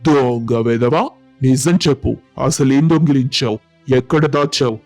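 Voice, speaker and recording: A man's voice: medium pitch, measured pace, darkish tone, no echo, faint music behind, natural voice. Man playing an emphatic village father, dry sound. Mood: angry, accusing. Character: doting village father, quick to anger and suspicion. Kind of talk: acted moral storybook dialogue.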